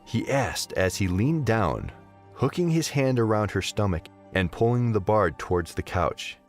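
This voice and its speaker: A man's voice: lowish voice, lively intonation, measured pace, soft background music. A man narrating a scripted story as the narrator of an audio drama.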